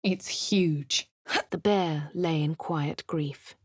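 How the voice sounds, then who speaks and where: A woman with a posh English accent speaking a game hero's lines and first-person narration in a measured way. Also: low-pitched, a little mellow, breathy edge, no room sound, studio quality. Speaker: player character voice. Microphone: studio mic.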